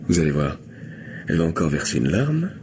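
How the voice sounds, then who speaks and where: An actor playing a glib, insistent gentleman in an old French radio play, talking a lot, wheedling and stammering. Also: low-pitched, very animated, a little fast. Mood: scornful. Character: glib, insistent gentleman pestering a young woman. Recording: noisy old radio drama recording.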